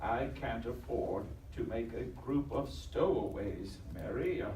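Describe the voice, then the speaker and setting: A man playing a grumpy, miserly doctor in a live audio drama, halting and stammering with open disdain. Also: mid-range voice, expressive, a little mellow, lightly acted. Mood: cold, dismissive. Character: grumpy, miserly, misanthropic male doctor, a Scrooge type. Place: convention live show stage. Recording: roomy live stage recording, distant, noisy.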